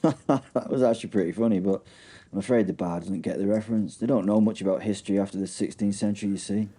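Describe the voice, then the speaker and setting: Mellow, wry man, acted. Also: lowish voice, steady tone, quick pace, breathy edge. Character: wry British man with a teasing, dismissive streak.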